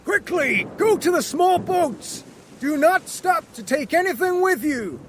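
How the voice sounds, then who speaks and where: A man reading aloud plays a stern sea captain who barks orders in a raised, high-pitched voice. His delivery swings widely in pitch, and he sounds like he is calling out across a deck. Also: lively intonation, unhurried, a little hiss or hum. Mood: shouting orders. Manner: shouting orders over a storm.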